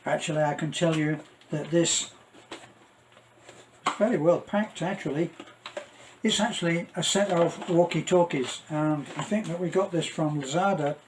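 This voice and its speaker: A middle-aged man giving a casual, rambling unboxing commentary, halting and thinking aloud as he goes. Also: on the high side, noisy background. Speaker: vlogger.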